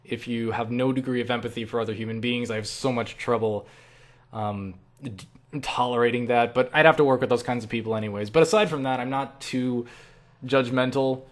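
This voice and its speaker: A man's voice: medium pitch, fairly quick. A young man answering viewer questions in a casual, rambling, self-deprecating way, as an online creator. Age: young adult. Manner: self-deprecating, animated asides with acted-out quotes.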